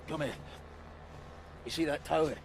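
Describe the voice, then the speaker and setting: A man's voice: a little high, slow, background noise. An actor playing a jittery, cynical male informant from the slums in a video game, edgy and streetwise. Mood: wary, pleading. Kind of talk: naturalistic game dialogue.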